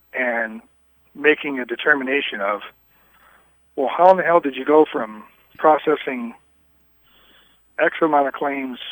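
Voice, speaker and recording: A man answering interview questions on a recorded telephone call, candid, with halting yet emphatic phrasing. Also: mid-range voice, fairly bright tone, dry recording. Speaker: union vice president, anonymous interviewee.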